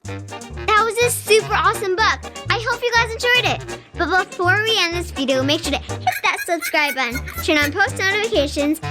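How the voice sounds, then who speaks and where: High-voiced woman reading a children's story. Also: normal speed, over loud music. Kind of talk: read-aloud children's storybook narration.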